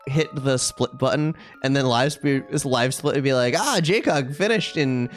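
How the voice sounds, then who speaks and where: A video game streamer chatting casually with viewers on a live stream, rambling and thinking out loud. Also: medium pitch, normal speed, faint music behind.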